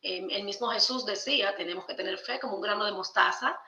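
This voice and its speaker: Bright-voiced Dominican woman in a roomy space. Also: mid-range voice, fast talker. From Dominican Republic. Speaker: podcast guest, theologian. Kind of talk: conversational explanation of spiritual ideas. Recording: roomy echo, slightly dull sound.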